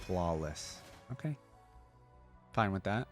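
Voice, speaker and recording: Very low-voiced woman reacting casually. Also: lively intonation, takes it slowly, faint music behind. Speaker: reaction video host.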